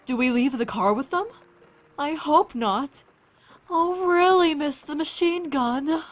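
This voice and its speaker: A woman acting a weary, compassionate and wry scientist in an audio drama, speaking in a halting, thoughtful way. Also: sits high.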